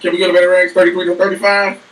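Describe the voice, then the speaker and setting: High-voiced man bantering in a roomy space. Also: completely flat delivery, very fast. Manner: slangy, profane, teasing. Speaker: panelist. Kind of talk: casual joking banter. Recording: roomy, distant mic, noisy.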